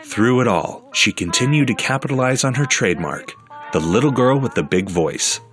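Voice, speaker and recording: A man narrating a documentary in an even, measured scripted delivery. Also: mid-range voice, music in the background.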